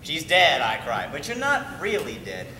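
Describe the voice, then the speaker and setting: A man's voice: a little high, wildly swooping pitch, moderate pace, sharp tone, a little hiss or hum, exaggerated voice. A man voicing an eager, bossy actor who explains a play scene in theatrical bursts. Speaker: actor rehearsing the lead. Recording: echoey hall from far off.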